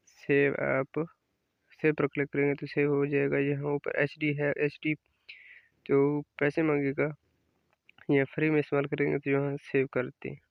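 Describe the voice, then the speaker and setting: A man's voice: fairly high pitch, creaky, no room sound. A man hosting a casual, step-by-step app tutorial in an instructional way, often repeating his phrases. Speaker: tutorial host. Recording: dull lo-fi close dry recording.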